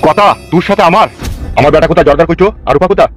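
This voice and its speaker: A man's voice: a little high, racing, over loud music, lightly acted. A man voicing a furious, foul-mouthed gangster politician in an amateur comedy cartoon, scolding and accusing crudely. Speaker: cartoon voice actor.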